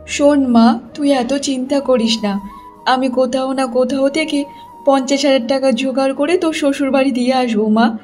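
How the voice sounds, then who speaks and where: Cartoon role, female actor: dramatic middle-aged mother, high voice, emphatic family-drama delivery. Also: steady tone, moderate pace, a little mellow, soft background music, natural voice. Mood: soothing, worried. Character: older mothers: scheming mother-in-law, anxious loving mother. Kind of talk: Bengali cartoon story acting.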